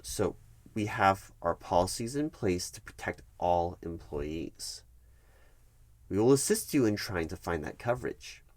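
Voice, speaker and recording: A man performing a one-sided ASMR roleplay as a firm, bureaucratic HR manager, explaining things in a measured, officious way with halting emphasis. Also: deep voice, lively intonation, darkish tone, no room sound.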